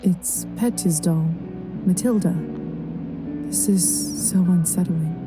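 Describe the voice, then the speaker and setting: A woman's voice: fairly low pitch, takes it slowly, loud music behind the voice. A woman playing an earnest, conscientious young woman newly turned vampire in a video game. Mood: shocked. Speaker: lead character of a story game.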